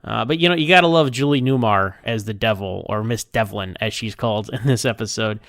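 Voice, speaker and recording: A man giving casual, unscripted recorded feedback as a listener, rambling and halting, often repeating words as he goes. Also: lowish voice, fairly bright tone, dry recording, studio quality. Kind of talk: casual, unscripted episode review.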